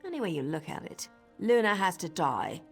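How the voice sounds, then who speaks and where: A blunt, scornful dark elf assassin woman from a fantasy game, voiced by a woman. Her low voice swings widely in pitch as she cuts people down. A long reverb trails each line, with faint music behind. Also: big pitch swings, moderate pace, gravelly, slightly rough, heavily acted.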